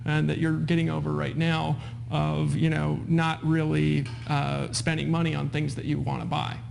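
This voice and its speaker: A man's voice: on the high side, monotone, normal speed, vocal fry, darkish tone, slight background noise. A man hosting a live webinar as a sales coach, persuasive, repeating himself and checking in with questions. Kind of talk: live webinar sales pitch, talking with callers.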